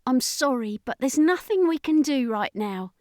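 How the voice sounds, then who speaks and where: A woman's voice: high voice, expressive, normal speed, a little mellow, no room sound, studio quality, pitched above the performer's own voice. A woman voicing an earnest, caring young heroine in an audiobook, with an emotional manner. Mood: regretful. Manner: reassuring, emotional.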